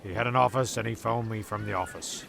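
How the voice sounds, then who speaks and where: A man playing a flustered, touchy narrator among castaways in a comedy radio play, halting and repeating himself. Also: on the low side, fairly even intonation, gravelly, fairly bright tone, a little hiss or hum. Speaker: narrator and character.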